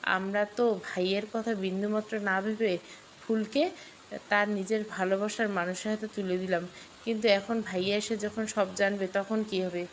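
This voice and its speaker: An anxious older brother from a storybook, read by a woman. He sounds worried and foreboding at a mid female pitch. The recording has some noise. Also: little pitch movement, normal speed, lightly acted, low-quality recording. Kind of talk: storybook dialogue.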